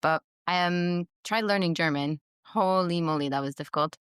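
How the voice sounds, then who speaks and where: A woman's voice: on the low side, clear, resonant tone, dry recording, clean studio sound. A young woman from Montreal, whose first language is Italian, talking in a chatty, upbeat way as an interview guest. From Montreal, Canada. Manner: chatty and upbeat, quick laughs. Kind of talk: casual interview conversation. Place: recorded in a workspace studio in London.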